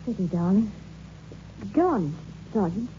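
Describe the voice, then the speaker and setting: An actress playing an earnest, uneasy young fiancée with a questioning manner, in 1960s radio drama style. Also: female voice, medium pitch, expressive, unhurried, dark tone, lightly acted. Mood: concerned, curious. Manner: anxious, questioning. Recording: muffled, hissy old radio recording.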